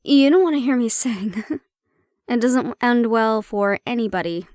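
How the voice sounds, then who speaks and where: A woman's voice: fairly high pitch, expressive, a little slow, a little mellow, no room sound, studio quality. A woman playing a prickly, guarded banshee-siren hybrid in an intimate audio roleplay, explaining herself conversationally with some teasing.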